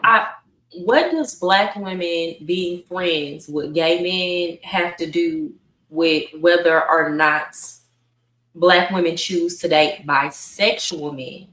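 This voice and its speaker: A woman's voice: on the low side, takes it slowly, a bit bright. An outspoken woman debating in a casual, conversational way as a panelist on a podcast. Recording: roomy echo, distant from the mic.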